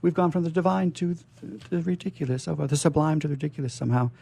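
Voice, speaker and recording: Mellow-voiced man hosting casual radio. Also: a little high, fast, creaky. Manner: enthusiastic. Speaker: radio host. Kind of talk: casual on-air interview chat.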